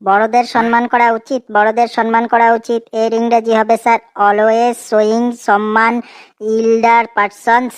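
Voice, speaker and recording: A woman's voice: fairly high pitch, little pitch movement, slow pace, clear, resonant tone, no echo. A woman playing a cheeky, bumbling schoolboy in a comedy cartoon. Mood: eager, unsure. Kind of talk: exaggerated comic cartoon dialogue.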